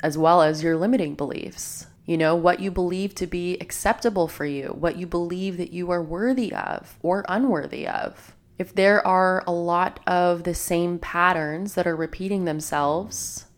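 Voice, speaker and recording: Young female podcast host, a little congested from a cold, earnest and reflective, lower register. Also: normal speed, few pauses. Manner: earnest, self-help tone. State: congested, slightly nasal from a cold. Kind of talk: reflective solo podcast talk.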